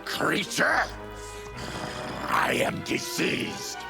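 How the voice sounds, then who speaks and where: An actor playing a diseased, bitter, fanged mutant warrior in a video game, with a guttural growl and grave, formal speech. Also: male voice, extremely slow. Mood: indignant. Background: loud music.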